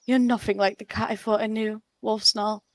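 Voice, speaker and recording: A woman's voice: medium pitch, level tone, moderate pace, dry recording, natural voice. A woman voicing a proud, protective mother cat with an intense, hissing, emphatic manner in an animal roleplay story. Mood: hurt.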